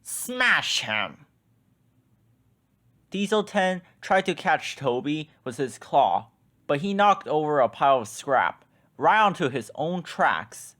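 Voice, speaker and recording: Brief: expressive male read-aloud storyteller, dry close mic. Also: on the high side, a little slow, no echo. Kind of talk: children's book read-aloud.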